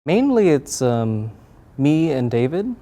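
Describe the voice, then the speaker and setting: A young man chats casually as an interviewed creator. His voice is very soft-edged and dark, at a medium pitch. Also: big pitch swings, slow. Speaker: comic illustrator and co-creator being interviewed.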